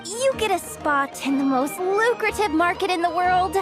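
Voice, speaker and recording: A woman's voice: very high-pitched, animated, moderate pace. A woman voicing a spirited, cheeky young girl who likes to boast and keep order, in a video game. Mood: teasing. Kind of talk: video game character voice acting. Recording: music behind the voice.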